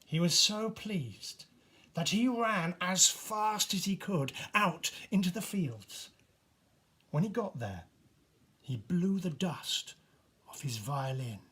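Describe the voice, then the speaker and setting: A man reading a children's storybook aloud in an animated, expressive way with dramatic pauses. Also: high voice, husky. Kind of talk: animated scripted storybook narration.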